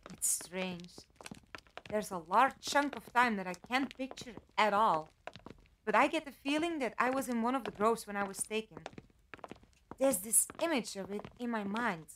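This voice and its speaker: A woman's voice: mid-range voice, a little slow, pauses often, a bit bright, a little hiss or hum, lightly acted, poor audio. A woman acting a composed, logical amnesiac captive in a fan-made audio drama, with dry, formal wording. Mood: reflective, uneasy.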